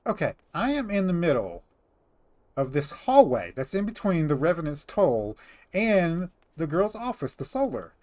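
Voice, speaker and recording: A man's voice: on the high side, wildly swooping pitch, moderate pace, darkish tone, no echo. A middle-aged man chatting casually over gameplay as a host, rambling and teasing as he goes. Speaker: gaming host.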